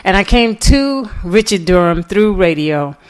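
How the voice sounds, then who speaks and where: A woman's voice: medium pitch, a little slow, clean tone. A middle-aged American woman from New York City giving an informal lecture, telling her own story in a conversational way. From New York City, USA. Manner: conversational, animated retelling with quoted dialogue and chuckles. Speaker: guest lecturer and author.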